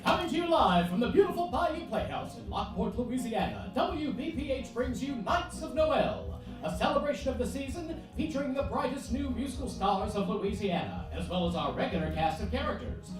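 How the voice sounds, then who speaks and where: Showy variety-show announcer, played by a woman: swooping delivery, highish voice, very echoey stage, music behind. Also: expressive, moderate pace, hardly any pauses, distant mic, close to an everyday voice. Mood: grand, upbeat. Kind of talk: theatrical old-time radio announcing. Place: theatre stage.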